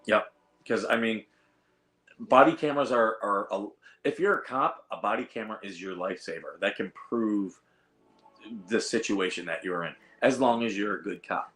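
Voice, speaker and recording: A hoarse man hosting a casual livestream, rambling with lots of fillers and reading out the chat. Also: lowish voice, normal speed, a bit bright. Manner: rambling, reads chat aloud, frequent fillers. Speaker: host of a veterans livestream. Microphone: iPad.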